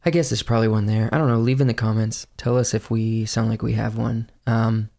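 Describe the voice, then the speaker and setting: A young man with a slight Southern accent, co-hosting a casual podcast chat in a small studio on a boom-arm mic, relaxed and chatty. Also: a little fast, vocal fry. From Pennsylvania, United States. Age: young adult. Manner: relaxed, chatty, laughs often. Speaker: podcast co-host. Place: small studio room indoors.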